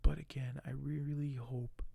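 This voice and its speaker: Male ASMR host, soft and gentle, slow, even-toned, mellow, halting delivery. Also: medium pitch, vocal fry, breathy edge, dry recording. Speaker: video host. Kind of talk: soft-spoken ASMR, partly whispered; reading aloud and commenting on collectibles.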